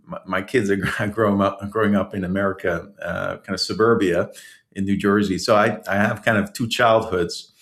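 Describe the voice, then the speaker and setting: A man's voice: lowish voice, steady tone, normal speed, fairly bright tone. A man from the Netherlands, a guest author, answering interview questions in a hesitant way with many restarts and small laughs. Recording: roomy echo.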